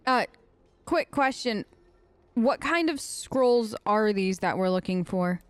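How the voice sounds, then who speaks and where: A woman's voice: medium pitch, lively intonation, takes it slowly, no room sound. A woman role-playing in a video game let's play, casual and wry with a hesitant, improvised delivery.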